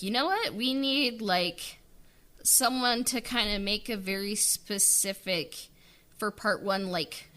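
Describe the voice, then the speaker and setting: A woman's voice: mid-range voice, bright, forceful tone. A woman co-hosting a podcast, chatting casually and hesitantly with many fillers. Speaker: podcast co-host. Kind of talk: casual behind-the-scenes chat.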